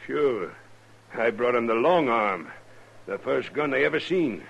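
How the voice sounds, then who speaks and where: An actor playing a brutal, boastful older buffalo hunter in a 1950s American radio western, with a rough frontier drawl. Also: male voice, medium pitch, animated, measured pace, fairly bright tone, dull audio, full character voice. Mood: boastful menace. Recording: faintly noisy old radio recording.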